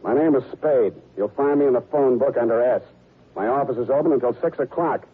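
A man's voice: medium pitch, brisk, slightly rough, close to an everyday voice. Man playing wry hardboiled private eye, old radio. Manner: wry, flippant, casual. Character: wry, wisecracking hardboiled male private detective. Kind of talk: 1940s radio detective acting and narration. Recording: muffled old radio recording with music.

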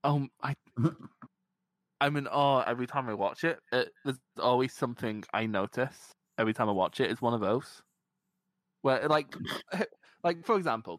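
A young man with a Scottish accent, talking in a loose, sweary way over a match. He laughs easily and gets excited as the action builds. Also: medium pitch, dry recording. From Scotland. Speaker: podcast co-host. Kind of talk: casual watchalong chat.

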